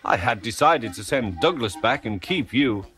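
A man voicing a stern, pompous middle-aged railway boss in a measured manner, in a storybook reading. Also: medium pitch, lively intonation, fairly bright tone, soft background music, close to an everyday voice.

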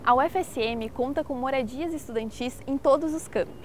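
A woman reporter giving a clear, informative stand-up outdoors on a campus. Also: high voice, fairly quick, over music. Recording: outdoor ambience with traffic.

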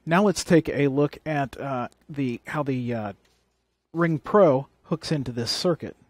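A man's voice: medium pitch, takes it slowly, no room sound. A man presenting a hands-on electronics how-to, explaining things plainly and step by step. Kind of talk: hands-on tutorial demonstration.

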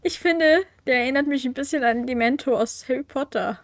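A woman's voice: sits high, a little fast, no echo. A young woman with a cold, chatting casually with wry asides and fillers as she plays through a game. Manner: chatty, wry, with frequent fillers and self-corrections. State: has a cold. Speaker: let's play host. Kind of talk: casual gameplay commentary, reading quest text aloud. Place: at home.

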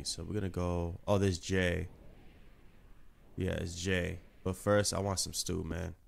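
A man's voice: deep voice, creaky, a little hiss or hum. A man with a stuffy nose from a cold, giving laid-back, slangy commentary while playing a video game. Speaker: gameplay streamer. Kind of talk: casual let's-play commentary.

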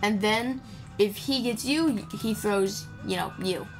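A woman chats casually as she plays a video game, commenting off the cuff in a playful manner. Her voice sits at a middling pitch, with game music running behind it. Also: takes it slowly, rough recording. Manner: chatty, playful, mumbles asides to the game character. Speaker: gamer hosting a playthrough. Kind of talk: casual improvised gameplay commentary. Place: indoor voice-over recorded over game audio.

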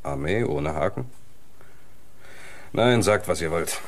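A man playing a brisk, methodical police lieutenant in a radio crime play, questioning with businesslike authority. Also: deep voice, animated, exaggerated voice. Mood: thoughtful. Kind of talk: radio crime drama acting. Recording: noisy radio play recording.

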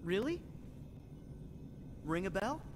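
A man's voice: sits high, big pitch swings, slow, background noise, heavily acted. An actor voicing an eager, impulsive teenage boy hero in a video game. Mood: curious. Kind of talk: animated video game cutscene. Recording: game audio with loud music behind.

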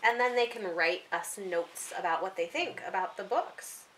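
A woman's voice: mid-range voice, moderate pace, fairly bright tone, a little hiss or hum, low-quality recording. A woman in her thirties chatting casually with a small child, encouraging and often repeating the child's words back. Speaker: book vlogger and mother hosting with her young child. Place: small book-lined room indoors.

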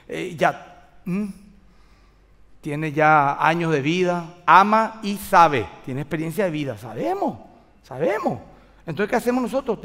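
A Paraguayan pastor in his forties delivers an animated sermon, voicing little dialogues as he goes. His voice sits high for a man and climbs and drops dramatically. The church hall adds a long reverb tail. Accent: Paraguayan Spanish. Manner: animated preaching with acted dialogue and gestures. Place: large church stage with live congregation.

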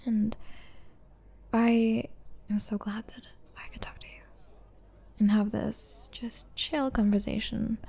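Casting: actress as a tender, sorrowful young girlfriend, slow halting delivery, soft breathy half-whisper, ASMR roleplay. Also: on the high side, full character voice. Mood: wistful, awkward. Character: tender, sad young girlfriend saying goodbye.

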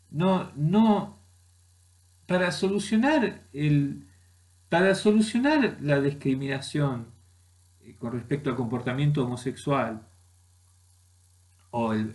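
Halting Argentine man ranting to webcam. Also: on the high side. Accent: Argentine Spanish. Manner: halting, many restarts and fillers, argumentative. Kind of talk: opinion monologue to camera. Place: small room indoors at home.

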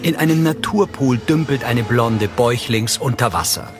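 Man, scripted scene narration, neutral, factual, mid-pitched, clear music behind. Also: normal speed. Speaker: audio description narrator. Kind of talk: scripted narration of on-screen action.

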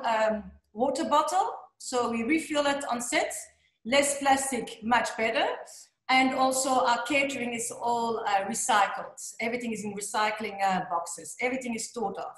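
A Belgian woman in her forties talks in an animated, gesturing way, peppering her speech with "you know". Her voice sits a little high and carries the echo of a roomy office. She is heard through a laptop mic on a video call. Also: moderate pace. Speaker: interview guest. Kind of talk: casual video-call interview. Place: bright office indoors. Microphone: laptop webcam mic on video call.

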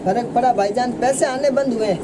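A man's voice: extremely high pitch, brisk, background noise. A man, answering casually in an interview as a horse trainer. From Rajasthan, India. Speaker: horse trainer and stud farm owner, interviewee. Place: outdoors in a farm yard by a brick wall. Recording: outdoor recording with birds and background chatter.

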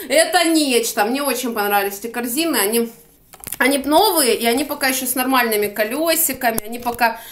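A woman's voice: on the high side, quick pace. A young woman with a Ukrainian accent chatting casually to her phone camera about her shopping, rambling and laughing easily. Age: young adult. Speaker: vlogger. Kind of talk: casual shopping-haul chat. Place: small room indoors by a window. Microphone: phone camera at arm's length. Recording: echoey room.

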